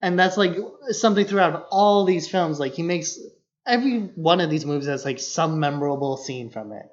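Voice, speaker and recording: A man's voice: a little high, moderate pace, dull audio. A man co-hosting a podcast, chatting about films in an informal, opinionated way. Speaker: podcast co-host. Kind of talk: casual film discussion.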